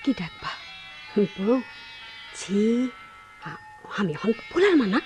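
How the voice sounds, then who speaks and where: Coy, lilting young village wife. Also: female voice, mid-range voice, big pitch swings, takes it slowly, darkish tone, faint music behind. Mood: shy, surprised. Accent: rural Bangladeshi. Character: tender, playful young village wife and mother. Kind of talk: Bengali radio play acting.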